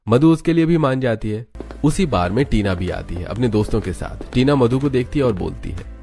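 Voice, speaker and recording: A man's voice: medium pitch, animated, fairly quick, vocal fry, mellow, soft background music. A man narrating a cartoon story in Hindi from a script.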